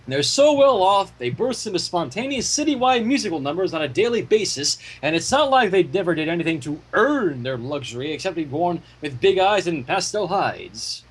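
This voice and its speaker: A man narrates a story with theatrical flair. His voice is high for a man and rough-edged. He swings through lively intonation as he reads, deliberate and dramatic. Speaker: fan fiction reader.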